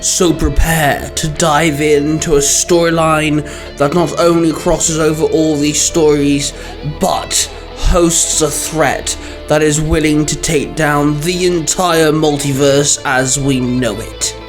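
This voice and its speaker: A man with a slightly high voice narrates fan fiction as its host, music playing underneath. The reading is scripted and dramatic, with deliberate emphasis. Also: little pitch movement.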